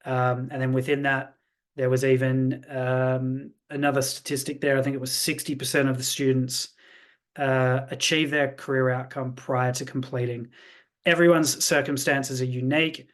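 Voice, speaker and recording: A man's voice: medium pitch, flat delivery, normal speed. A man hosting an online information webinar in a polished, salesy way with frequent fillers. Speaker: webinar host, programme representative. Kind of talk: presenting a webinar. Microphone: video call.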